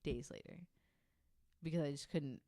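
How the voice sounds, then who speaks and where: A woman's voice: low voice, normal speed, warm tone, no echo, clean studio sound. A woman on a podcast, casually telling anecdotes in a chatty, informal way. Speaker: podcast panelist. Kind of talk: casual chat, anecdotal storytelling.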